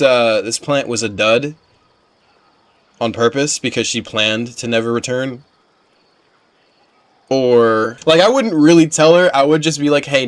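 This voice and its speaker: A man's voice: mid-range voice, no echo. A man giving casual gameplay commentary as a streamer, slangy and emphatic, often repeating himself for weight. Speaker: game streamer.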